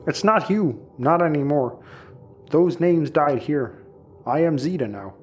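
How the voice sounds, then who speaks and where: An earnest clone speaks in a fairly high male voice. He is grateful and determined, slightly halting, like someone newly freed in a sci-fi audio play. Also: animated. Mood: resolute. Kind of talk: sci-fi audio drama acting.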